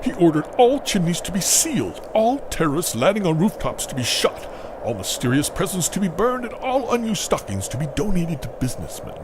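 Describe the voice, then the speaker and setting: A man's voice: high-pitched, wildly swooping pitch, brisk. A man playing an aggrieved, earnest old Santa Claus in a comic audio play, speaking theatrically. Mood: forlorn. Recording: clearly noisy background.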